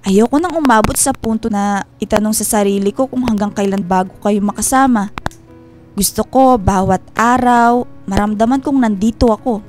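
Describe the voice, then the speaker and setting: An actress playing a tender, wounded wife and mother in a Filipino radio drama, speaking in an earnest, measured way. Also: medium pitch, darkish tone, faint music behind, close to an everyday voice. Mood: earnest, loving. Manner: earnest, heartfelt, measured delivery.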